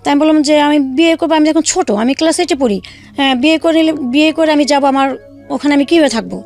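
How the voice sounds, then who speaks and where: A woman telling her own life story in a halting way, with many fillers and restarts. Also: sits high, darkish tone, no echo, soft background music. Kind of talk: emotional personal storytelling.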